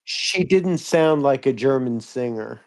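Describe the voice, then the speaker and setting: Thoughtful mid-pitched man commenting on music. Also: lively intonation, a little slow, darkish tone, a little hiss or hum. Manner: thoughtful, explanatory. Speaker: co-commentator giving music feedback. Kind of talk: casual analytical commentary.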